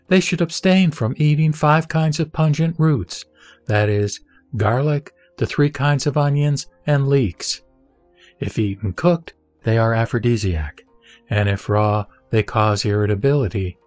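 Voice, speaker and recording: Male reciter, mid-pitched, solemn measured scripture reading, close dry recording, faint music behind. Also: a little mellow, no room sound. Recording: dry voice over background music. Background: background music.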